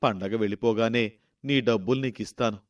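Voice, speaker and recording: A man's voice: on the low side, fairly even intonation, fairly quick, muffled recording, pitched below the performer's own voice, natural voice. A man voicing a poor, aggrieved elderly village husband in a story, complaining and pleading. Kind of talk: storybook voice acting.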